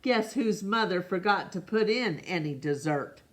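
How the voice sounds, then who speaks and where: A woman voicing an aggrieved, dramatic young boy who complains about his awful day in a picture book, with emphatic, drawn-out words. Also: on the low side, lively intonation. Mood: sulky.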